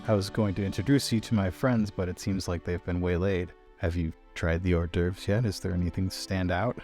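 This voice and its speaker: A principled young scholar, voiced by a man in a tabletop game. His voice sits fairly low and he pauses mid-sentence, polite but stubborn. Soft music runs underneath. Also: steady tone, close to an everyday voice. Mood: polite, guarded. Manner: measured, pausing mid-sentence. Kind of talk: improvised tabletop roleplay.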